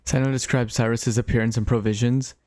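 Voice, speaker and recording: A man's voice: mid-range voice, level tone, fairly quick, a little mellow. A man giving casual, chatty live gameplay commentary as a streamer, reacting as he goes. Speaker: game streamer.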